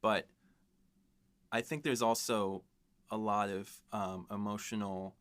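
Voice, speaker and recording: Man, fairly low voice, measured, reflective, casual podcast chat. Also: fairly even intonation, a bit bright. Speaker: podcast guest writer.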